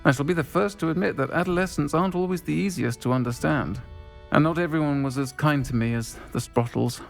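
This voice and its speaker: A kindly, reflective man in a game. His mid-pitched voice has a marked creak. He speaks gently and with understatement, over faint music. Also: brisk. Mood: wistful, fond. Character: kindly, reflective, grateful adult. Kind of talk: video game voice acting.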